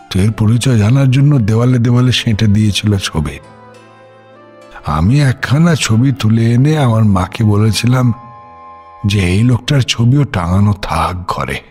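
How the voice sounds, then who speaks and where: A man's voice: on the low side, darkish tone. A man acting an elderly village grandfather in an audio drama, speaking as a reflective, rhetorical storyteller over music and crickets. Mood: grave, recalling. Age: older. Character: elderly village grandfather recounting forgotten freedom fighters. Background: music bed with cricket sounds.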